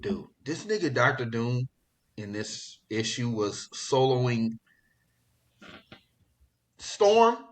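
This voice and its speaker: A man hosting a podcast in casual pop culture chat, talking in an excitable, slangy, profane way. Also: medium pitch, slow, pauses often. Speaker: podcast host.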